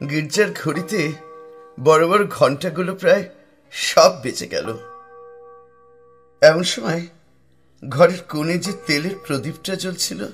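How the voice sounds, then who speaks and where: A man playing a brooding young poet who narrates a ghost story in an audio drama, measured and pausing for suspense. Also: fairly high pitch, fairly bright tone. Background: music.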